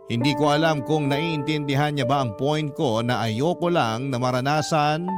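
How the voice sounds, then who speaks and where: A man's voice: medium pitch, lightly acted. A man reading a troubled letter writer's love story as sentimental, reflective radio drama narration. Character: Janine's letter, troubled woman recounting her love story. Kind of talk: scripted first-person radio drama narration. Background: music.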